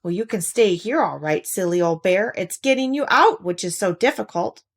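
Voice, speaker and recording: A woman voicing a kind, sensible young storybook boy in a gentle, reassuring manner. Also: medium pitch, animated, moderate pace, breathy edge. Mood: matter-of-fact.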